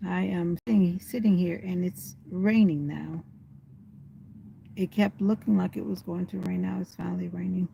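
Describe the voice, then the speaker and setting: Chatty older woman, slightly raspy voice. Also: fairly low pitch, steady tone, normal speed, mellow, a little hiss or hum, low-quality recording. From USA. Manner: rambling, chatty, talking to herself. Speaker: vlogger. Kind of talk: casual livestream chat. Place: inside a parked car in the rain.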